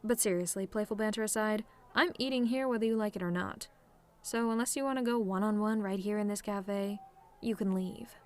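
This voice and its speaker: A woman acts out a tomboyish female demon with a medium-pitched voice. She is sardonic and relaxed, needling the listener with casual taunts, as in an audio roleplay. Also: fairly quick, close to an everyday voice. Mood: teasing, taunting. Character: sardonic tomboy female demon, relaxed, teasing, secretly fond of simple pleasures. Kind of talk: scripted roleplay monologue to a listener.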